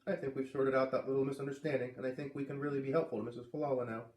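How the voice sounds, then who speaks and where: A man voicing a composed, gently firm mother in a storybook, with a placating manner. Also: medium pitch, little pitch movement, racing, echoey room, far from the mic, lightly acted.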